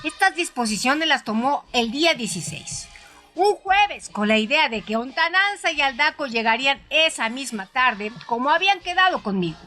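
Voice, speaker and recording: High, bright-voiced woman narrating a story. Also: lively intonation, moderate pace. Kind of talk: dramatized first-person audiobook narration. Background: music.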